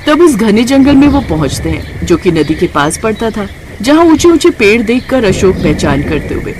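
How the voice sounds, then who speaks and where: A woman's voice: mid-range voice, very animated, normal speed, a little mellow, a little hiss or hum. A woman reading scripted narration for a moral family story as the storyteller. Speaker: storyteller narrating and voicing characters.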